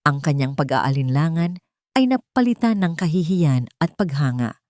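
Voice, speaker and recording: A woman narrating a scripted sentimental drama story in a measured, dramatic storytelling manner. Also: low-pitched, very animated, a little mellow, no room sound, clean studio sound.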